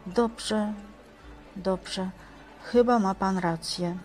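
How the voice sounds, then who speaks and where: Humble monk lady voicing him, cartoon, slow n soft-edged mellow voice, meek. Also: mid-range voice, soft background music. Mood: meek, resigned. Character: humble, devout monk talking with a Christ figurine. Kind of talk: amateur stage-play acting in a cartoon.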